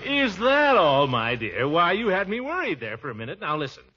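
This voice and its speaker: An actor playing a pompous, doting middle-aged uncle in a blustery, self-satisfied 1940s radio comedy style. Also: male voice, high voice, wildly swooping pitch, moderate pace, a little hiss or hum. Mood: concerned, coaxing. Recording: muffled vintage radio recording.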